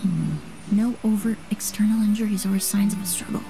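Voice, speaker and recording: A woman voicing a composed, courteous young investigator in a video game, speaking with measured, precise politeness and gentle sympathy. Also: mid-range voice, faint music behind, lightly acted, poor audio. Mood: measured, analytical.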